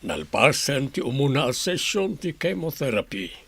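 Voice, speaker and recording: A man acting a gravelly, animated old grandfather. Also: mid-range voice, moderate pace, no room sound, full character voice. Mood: caring. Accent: Ilocano. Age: older. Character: caring, exasperated grandfather of a sick young man. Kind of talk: Ilocano comedy radio drama acting.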